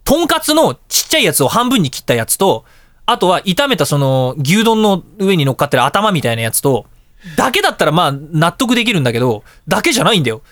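A man hosting a radio show, chatting casually and telling anecdotes in an animated way, with exclamations and sound-effect words. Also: high voice, quick pace, dry recording, studio quality.

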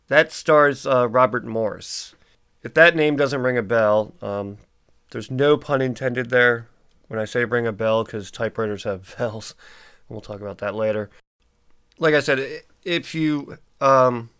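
Stuffy nosed guy rambling on his podcast, smooth clear tone, um and uh everywhere. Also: medium pitch, bright, forceful tone. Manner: rambling, many ums and uhs. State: congested, stuffy. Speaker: podcast host. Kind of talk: casual solo podcast monologue.

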